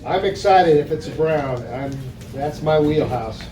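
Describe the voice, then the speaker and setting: A man tasting beer with friends, agreeable and halting as he talks. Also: a little high. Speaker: taster. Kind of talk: casual chat. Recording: echoey room, distant mic, loud crowd.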